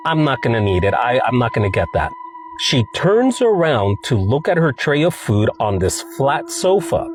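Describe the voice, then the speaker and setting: A man's voice: mid-range voice, sing-song, moderate pace, background noise. Congested, nasal man telling a spooky story. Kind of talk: casual spoken storytelling.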